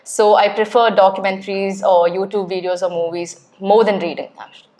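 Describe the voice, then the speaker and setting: A woman's voice: mid-range voice, fairly even intonation, fairly quick, a little mellow. A young woman from Kerala, India, answering speaking-test questions chattily with many fillers. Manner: chatty, with frequent uh and yeah fillers. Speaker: test candidate. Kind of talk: spoken exam answers.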